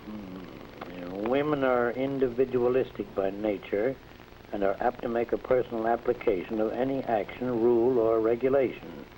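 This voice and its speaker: An American man acting as a patient, patronizing factory manager in a 1940s instructional film, speaking in a measured, didactic way with hesitant uhs. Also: mid-range voice, warm tone, a little hiss or hum, close to an everyday voice, poor audio. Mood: avuncular, lecturing. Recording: vintage 1940s film soundtrack, music.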